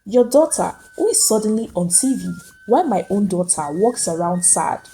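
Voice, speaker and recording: A woman's voice: mid-range voice, lively intonation, normal speed, warm tone, soft background music, pitched below the performer's own voice, lightly acted. A woman voicing a proud, jealous, wealthy madam who sneers and snaps at her employee in a dramatic folktale. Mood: sharp, indignant. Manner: sneering, snapping.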